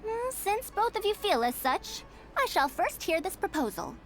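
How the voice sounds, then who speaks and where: A haughty senior scholar from a video game. Her voice is high and very animated, with prim, lofty phrasing and a self-important air. Soft music plays behind her. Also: normal speed, heavily acted. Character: haughty senior female scholar of ancient languages and ruins.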